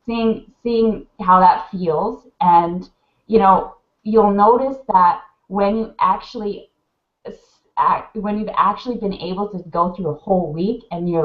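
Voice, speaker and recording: A woman on a video call gives heartfelt advice, rambling and full of fillers, a little sniffly from crying. The audio is muffled webcam sound in a roomy space. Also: on the low side. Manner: gushing, rambling, many "like" fillers. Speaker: mentor on a live video hangout. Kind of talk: heartfelt casual advice chat.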